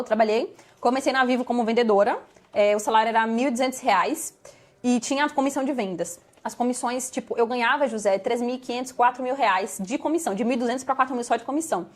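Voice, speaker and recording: Fast, high-voiced Brazilian woman telling her story. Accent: Brazilian Portuguese, São Paulo-smoothed. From Bahia, Brazil. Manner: animated, emphatic, repetitive for effect. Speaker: guest. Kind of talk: conversational interview storytelling.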